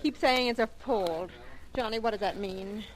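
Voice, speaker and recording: A woman's voice: mid-range voice, moderate pace, close to an everyday voice, rough recording. An actress playing a middle-aged bereaved mother in an old radio drama, courteous, earnest and tense in manner. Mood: anxious. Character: Missus Avery, polite, tense bereaved mother.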